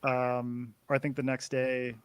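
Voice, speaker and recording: A man's voice: medium pitch, unhurried, smooth, dry recording. A Canadian man, a podcast guest, chatting casually and rambling a little over a video call. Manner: relaxed, rambling, swears casually.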